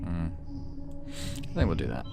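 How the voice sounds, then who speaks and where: Low-voiced man reading a game story aloud. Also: sing-song, normal speed, vocal fry. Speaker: gaming channel host. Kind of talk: dramatic read-aloud narration of a visual novel; casual let's play commentary between readings. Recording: game music behind the voice.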